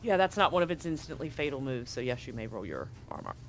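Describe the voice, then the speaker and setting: A man's voice: medium pitch, huge pitch swings, fast. A man acting as game master, improvising narration and ruling on dice rolls in a tabletop roleplaying game. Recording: music behind the voice. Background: music.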